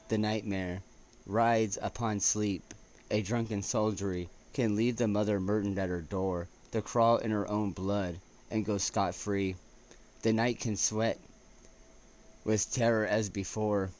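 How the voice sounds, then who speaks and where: A man reading poetry aloud as an amateur, in a halting way with stumbles and restarts. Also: on the low side, a little mellow. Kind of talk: poetry recitation with casual asides. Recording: noticeable background noise.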